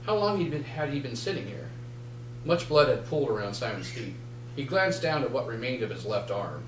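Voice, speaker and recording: Man reading fiction aloud, roomy and distant. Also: medium pitch. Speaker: author reading from own novel. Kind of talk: live dramatic prose reading. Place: indoor bookshop reading event. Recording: roomy, distant mic, faint noise and hum.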